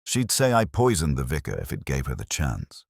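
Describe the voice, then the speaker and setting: A man's voice: a bass voice, huge pitch swings, moderate pace, gravelly, dry recording, clean studio sound, exaggerated voice. A man voicing an indignant, blunt small-town woman cook in a storybook mystery. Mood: blunt, defensive.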